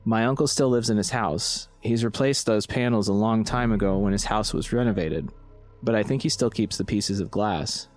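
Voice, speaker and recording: A man reads a story from a script as a narrator. His voice is on the low side. Music runs underneath his reading. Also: normal speed. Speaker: story reader.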